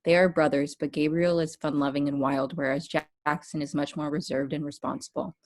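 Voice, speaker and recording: A woman's voice: low-pitched, steady tone, a little fast, darkish tone. A woman reading stage directions as scripted narration for a table read.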